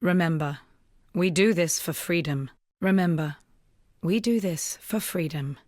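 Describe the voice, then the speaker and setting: An actress voicing a weary, earnest young rebel woman, a wounded freed slave, in measured, solemn video game dialogue. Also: on the low side, darkish tone, dry recording, clean studio sound, close to an everyday voice. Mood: resolute.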